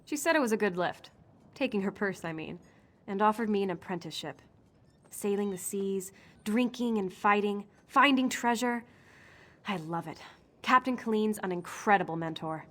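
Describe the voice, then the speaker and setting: An actress playing a brash young pirate apprentice in a video game, eager and boastful. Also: female voice, mid-range voice, normal speed, a bit bright, lightly acted. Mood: eager, proud. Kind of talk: video game character dialogue.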